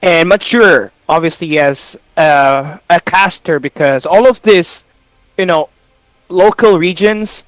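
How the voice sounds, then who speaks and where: Smooth-voiced man, dry sound. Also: a little high, slow pace, no room sound, poor audio. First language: Tagalog. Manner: earnest, with frequent fillers like "you know". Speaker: esports caster, guest. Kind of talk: casual interview answers.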